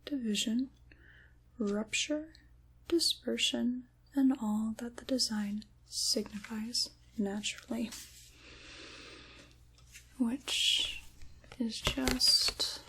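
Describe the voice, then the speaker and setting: A woman voicing an ancient, sly dark witch in an ASMR roleplay, in a breathy whisper with deliberate, theatrical, old-fashioned phrasing. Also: fairly high pitch, a little hiss or hum, heavily acted, rough recording. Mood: ominous, incantatory.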